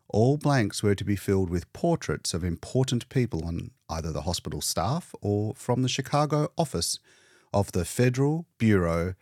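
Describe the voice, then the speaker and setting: A man narrating a scripted story as an audiobook reader, with deliberate, dramatic phrasing. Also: fairly low pitch, a little mellow, no room sound, clean studio sound.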